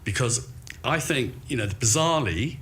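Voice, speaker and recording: A man's voice: mid-range voice, expressive, moderate pace, slight background noise. A man with a British accent who organises trade shows, speaking emphatically on a panel about running events. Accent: British English. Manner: loud, emphatic, anecdotal. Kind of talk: panel discussion. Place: event venue in central London.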